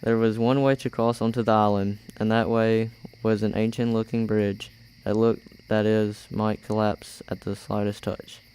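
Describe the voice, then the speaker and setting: A man's voice: fairly low pitch, flat delivery, normal speed, a little mellow, clear, resonant tone, poor audio. A young man reading scripted story narration for an amateur radio play. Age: teenager or young adult.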